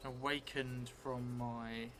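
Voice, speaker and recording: A middle-aged man thinking aloud as he improvises a solo tabletop game, hesitant and musing with long pauses. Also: mid-range voice. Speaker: solo role-player and streamer. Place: indoor theatre room, seated at a table. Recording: clear steady background noise.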